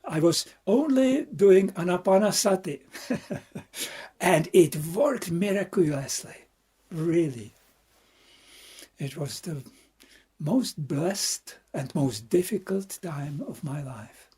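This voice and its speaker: A Czech man, a Buddhist monk, gives an unhurried, reflective dharma talk with a Czech accent. His voice is deeply breathy and husky with a mellow, dark color. He pauses often to search for words and lets out soft laughs along the way. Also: animated. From Czech Republic.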